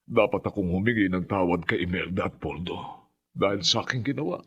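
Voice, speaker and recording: An actor playing a grim, earnest village leader in a radio horror drama, with emphatic delivery. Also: male voice, sits low, fairly quick, slightly rough, lo-fi sound. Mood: earnest, remorseful. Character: grim earnest village man leading men against monsters.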